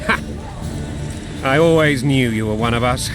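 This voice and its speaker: A man's voice: medium pitch, measured pace, music in the background, crowd noise, close to an everyday voice. An actor playing a blunt, hot-tempered, battle-hardened king in a video game, commanding and sardonic. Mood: gruff, candid. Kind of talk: video game cutscene acting.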